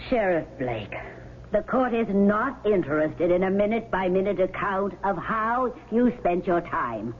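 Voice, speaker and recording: A woman's voice: mid-range voice, very animated, unhurried. A woman playing a stern, impatient court official in a 1970s radio drama, speaking precisely and formally. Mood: stern impatience. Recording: muffled, noisy old radio broadcast.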